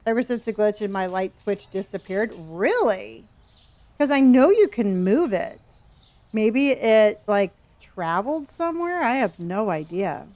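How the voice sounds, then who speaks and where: A woman's voice: mid-range voice, lively intonation, a little slow, mellow. A woman in her early sixties chatting casually with her live-stream viewers while playing a game, reading their messages aloud and often trailing off and restarting. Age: 60s.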